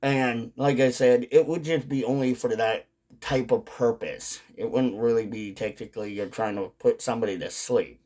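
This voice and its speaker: A man giving casual, rambling commentary to viewers, with drawn-out phrasing, pauses and some casual swearing. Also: medium pitch, darkish tone. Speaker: video host, hobby commentator.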